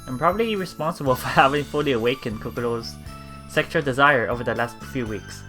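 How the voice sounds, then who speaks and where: A man reading visual novel text aloud as a let's play host, with casual commentary and a halting delivery that repeats phrases. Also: medium pitch. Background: game music.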